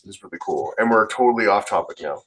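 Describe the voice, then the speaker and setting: A man's voice: fairly low pitch, lively intonation, fast, fairly bright tone, husky. A man co-hosting a podcast, chatting casually in a matter-of-fact, opinionated way. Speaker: podcast co-host. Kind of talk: casual group podcast chat.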